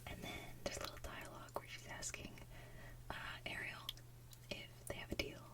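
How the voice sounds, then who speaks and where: A woman's voice: brisk, far from the mic. A woman hosting ASMR in a casual whisper, with giggly, chatty asides. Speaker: ASMR channel host and singer.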